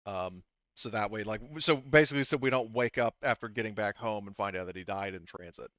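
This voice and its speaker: A man narrating a tabletop role-playing game as game master, improvising in a halting, rambling way full of asides. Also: on the low side, fairly bright tone, no room sound, lo-fi sound.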